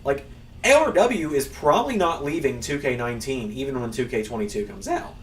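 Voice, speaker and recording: A man's voice: mid-range voice, expressive, a little fast, far from the mic, slight background noise. A young man streaming a wrestling game, chatting casually to viewers in a rambling, self-mocking way that includes swearing. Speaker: gaming streamer. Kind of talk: casual live-stream chat while playing.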